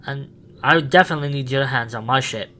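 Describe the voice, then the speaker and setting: A man playing an eccentric, excitable time traveler who rambles with enthusiasm in a fan roleplay. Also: medium pitch, a little hiss or hum, close to an everyday voice. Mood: excited. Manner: enthusiastic, rambling, quick bursts.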